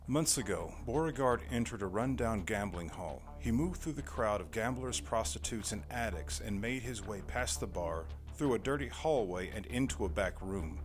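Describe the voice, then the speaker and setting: A man's voice: fairly low pitch, animated, moderate pace, few pauses, faint music behind. A man giving scripted, dramatic narration for a noir horror audio drama.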